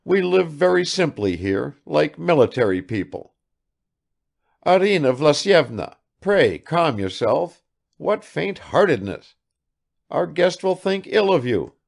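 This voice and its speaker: Man voicing a flustered old army father, high-pitched, gravelly, hiding his emotion. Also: big pitch swings, slow pace, exaggerated voice. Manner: flustered, trying to hide his feelings. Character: fussy emotional elderly retired army doctor father.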